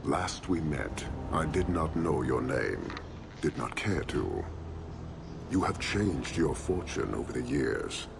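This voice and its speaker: An actor playing a stern, coldly courteous horned giant warlord in a fantasy video game, gravelly and measured, speaking in terse formal phrases. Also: male voice, lively intonation, heavy background noise, heavily acted. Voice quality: deep, gravelly. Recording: game music behind the voice.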